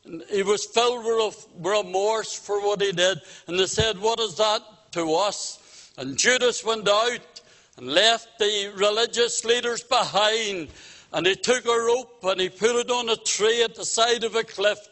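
A man preaching an evangelistic gospel sermon, solemn and exhorting, with deliberate phrasing and direct appeals to his listeners. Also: high voice, bright, forceful tone. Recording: long reverb of a meeting hall.

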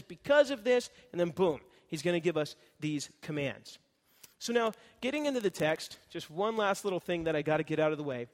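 A man's voice: high voice, long reverb tail. A man preaching an expository sermon, explaining grammar in a conversational teaching manner and often checking in with the congregation. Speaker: pastor.

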